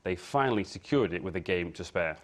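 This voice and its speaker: A man's voice: low-pitched, animated, fairly quick. A man working as a sports editor, delivering a broadcast sports report in a studio. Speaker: sports editor presenting sports news. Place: TV news studio.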